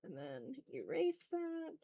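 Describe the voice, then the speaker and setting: A woman's voice: extremely high pitch, wildly swooping pitch, takes it slowly, gravelly, warm tone. A woman hosting a live art stream, chatting casually and talking herself through her work with repeated short phrases and mild exclamations. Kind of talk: casual livestream chat, muttering to self while drawing.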